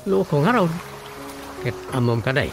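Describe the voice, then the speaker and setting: Gossipy villager over music. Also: male voice, a little high, animated, normal speed. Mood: gossiping. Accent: Filipino. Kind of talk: Filipino comedy radio drama acting.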